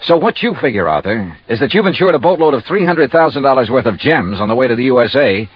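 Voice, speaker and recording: An actor playing a wry, self-assured American insurance investigator, brisk and dry, in 1950s radio noir style. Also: male voice, medium pitch, very animated, husky, no room sound. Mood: impatient, dry. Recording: dull old radio recording.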